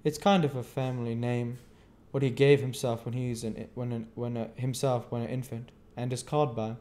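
A man's voice: medium pitch, level tone, normal speed, warm tone, voice pushed up, natural voice. A man reading aloud voices a plain-spoken male village blacksmith with homely, hesitant speech.

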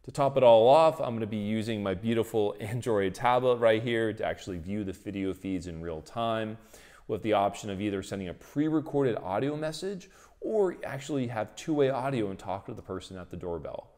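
A man's voice: on the low side, expressive, brisk, a little mellow. A man, explaining technology as the host of a tutorial video. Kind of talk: explanatory tech tutorial, presenting to camera and in voice-over.

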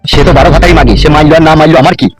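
A man's voice: on the high side, steady tone, rapid-fire, very noisy, close to an everyday voice. A man playing a brash politician in a comedy cartoon who coaxes his new bride with wheedling, boastful, crude talk. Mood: indignant, boastful. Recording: music behind.

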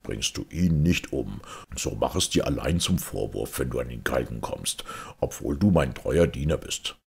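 A man voicing a covetous, commanding king in a fairy tale, gravelly and very deep, weighty and imperious. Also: expressive, moderate pace, darkish tone, full character voice. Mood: threatening.

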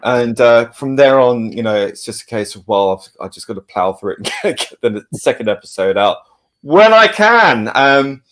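Mid-pitched man, informal podcast guest. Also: lively intonation, moderate pace, fairly bright tone. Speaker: guest, audio-visual producer and editor. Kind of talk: casual podcast chat. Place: remote online video call.

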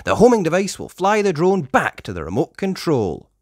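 A storybook reader doing a confident boy leader, raised pitch. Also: wildly swooping pitch, moderate pace, darkish tone, dry recording, studio quality. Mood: encouraging. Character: confident, encouraging boy leader of rescue pups. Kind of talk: children's storybook character voice.

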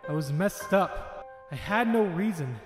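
A man's voice: high-pitched, animated, unhurried, soft background music. A man voicing a guilt-ridden young goat monster son in a comic dub, impassioned and confessional. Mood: guilty confession. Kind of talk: amateur comic dub acting.

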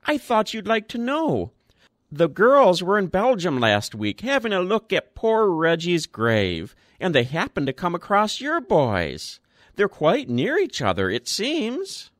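A man's voice: sits high, huge pitch swings, unhurried, no echo, studio quality, voice pushed up, heavily acted. A man voicing a frail old man with a thin, piping, quavering voice who rambles on chattily. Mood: chatty.

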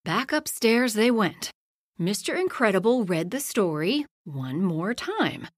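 Woman narrator for a kids' storybook read-along: unhurried, very expressive, dry close sound. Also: medium pitch, no room sound. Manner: slow, lively storytelling, hushed at bedtime lines and loud in action. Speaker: storybook reader. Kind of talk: read-aloud children's bedtime story.

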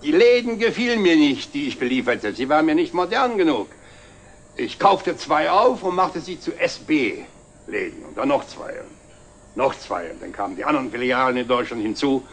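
Proud older director, animated delivery. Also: male voice, on the high side. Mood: wry, proud. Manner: expansive, deliberate speechmaking with wry chuckles. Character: proud, jovial, self-made older company director. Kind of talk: banquet speech in 1960s German TV drama. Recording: slightly noisy old 1960s TV soundtrack.

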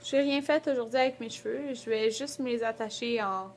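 A young woman with a Quebec French accent and a fairly high voice talks like a vlogger at home. She is chatty and colloquial, holding the camera at arm's length in a small room. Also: brisk. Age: 20s. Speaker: beauty vlogger. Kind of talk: casual vlog chat to camera. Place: small rooms at home, indoors.

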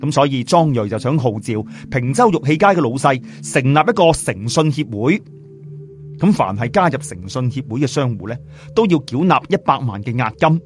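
A man narrating a story in a lively, colloquial Cantonese storytelling style, chatting in asides to listeners. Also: medium pitch, moderate pace, soft background music. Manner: chatty, uses sentence particles and asides to listeners. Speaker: audiobook storyteller.

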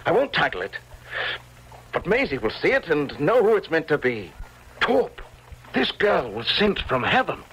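An actor playing an imperious young painter, obsessively lovesick, abrupt and commanding, in old radio drama style. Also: male voice, deep voice, sing-song, normal speed, sharp tone, breathy edge, dull audio, heavily acted. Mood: agitated, elated.

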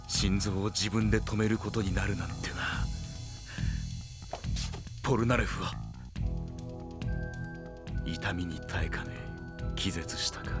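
A man playing a tough, stoic teenage hero in an audio drama, speaking in a terse, gruff, deadpan way. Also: sits low. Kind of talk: Japanese anime-style audio drama acting.

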